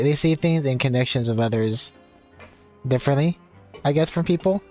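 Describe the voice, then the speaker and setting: A woman's voice: extremely low pitch, darkish tone. A woman streams a video game with casual commentary. She muses and thinks aloud in a conversational way. Faint game music plays behind her. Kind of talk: casual gameplay commentary, reading dialogue choices.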